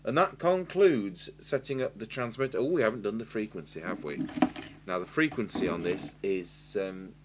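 An English man with a low-pitched voice talks through a technical repair in a matter-of-fact, informal way. He thinks aloud as he works at an indoor bench. The recording carries a faint background hum and noise. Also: lively intonation, moderate pace. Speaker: radio repair technician, presenter. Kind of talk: informal hands-on repair demonstration commentary. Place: indoors at a workbench.

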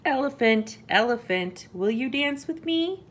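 A woman's voice: high voice, animated, unhurried, fairly bright tone, pitched above the performer's own voice, heavily acted. A woman voicing a small, eager male mouse in a children's storybook, pleading in a singsong way. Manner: singsong, pleading, repeats names.

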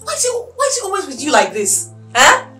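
A woman's voice: high voice, huge pitch swings, quick pace, sharp tone, echoey room, soft background music, heavily acted. An actress with a Nigerian accent playing a spoiled, short-tempered young madam in a screen drama, haughty and exasperated as she scolds. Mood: irritated, demanding. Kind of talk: melodramatic screen drama acting.

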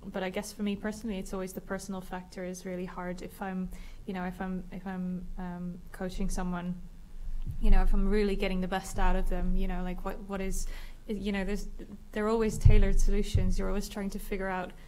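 A woman's voice: medium pitch, little pitch movement, fairly quick, darkish tone, slight background noise, low-quality recording. A woman who teaches, thinking aloud reflectively during a panel discussion. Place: large dark auditorium stage.

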